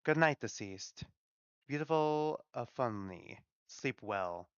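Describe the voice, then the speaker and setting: A man voicing a gentle, motherly female keeper of the dead in a story, courteous and ceremonious. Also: medium pitch, expressive, takes it slowly, smooth, no echo, highs cut off. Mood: tender, soothing. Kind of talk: dramatic read-aloud of a story.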